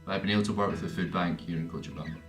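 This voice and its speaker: A man's voice: low-pitched, steady tone, very fast, reverberant, soft background music. A young man with a Glasgow accent giving a hesitant update on his mission work. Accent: Scottish, Glasgow. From Scotland. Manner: hesitant, with fillers. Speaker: short-term mission worker. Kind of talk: recorded personal update.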